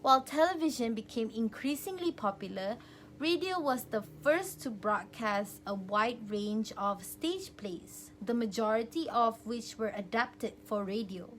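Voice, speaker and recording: A woman with a slightly high voice reads out a formal, scripted presentation in measured phrases. A light hum and noise sit behind her. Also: animated, poor audio. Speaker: student presenter in a group presentation. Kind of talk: scripted informational narration, read out.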